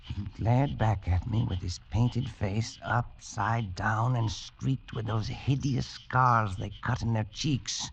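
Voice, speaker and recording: An actor playing a frightened colonial adventurer in an old-time radio drama, agitated and halting as he recounts his ordeal. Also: on the low side, fairly even intonation, gravelly, muffled recording, a little hiss or hum. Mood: shaken, horrified. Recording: vintage radio recording, music underneath.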